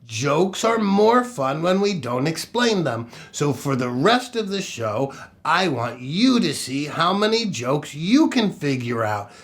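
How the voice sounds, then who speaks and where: A man hosting a children's storytime show in a playful, theatrical, exaggerated way, with sing-song exclamations and pauses. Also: a little high, sing-song. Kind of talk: playful children's show presenting with dad jokes.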